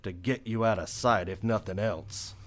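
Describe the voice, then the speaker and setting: A man acts a stern lawman in an old-style western radio drama. His American voice is on the low side. He speaks tersely and dryly, with weary authority. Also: normal speed, close to an everyday voice. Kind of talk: old-time western radio play acting.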